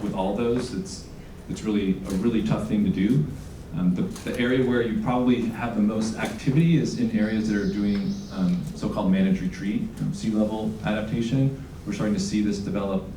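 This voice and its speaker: A man on a panel answering an audience question, halting with many fillers and restarts. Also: lowish voice, warm tone, a little hiss or hum. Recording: roomy hall, far from mic.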